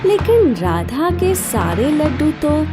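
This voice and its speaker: Female narrator for children's tales: high, expressive voice, very unhurried delivery over music. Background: background music.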